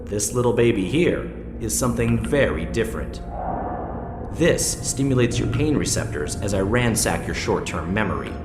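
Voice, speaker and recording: Echoing, low-voiced menacing male interrogator played by an actor. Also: expressive, close to an everyday voice. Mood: cold menace. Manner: deliberate, condescending, threatening. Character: menacing male interrogator and torturer, coldly controlling. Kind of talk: audio drama acting. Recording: echoey reverberant hall with music.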